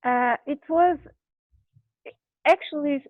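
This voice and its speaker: Woman guest, halting, restarts, dry tinny call audio. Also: high voice, no room sound. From Brazil. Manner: halting, searching for words, frequent restarts. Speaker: interview guest. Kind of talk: candid personal conversation.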